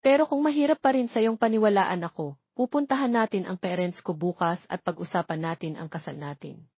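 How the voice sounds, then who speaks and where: A woman's voice: lowish voice, fairly quick, breathy edge, no room sound. A Filipino woman plays a devoted young male politician in an audiobook romance, earnest and pleading with the one he loves. The sound is close, dry and a little dull. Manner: pleading, sincere.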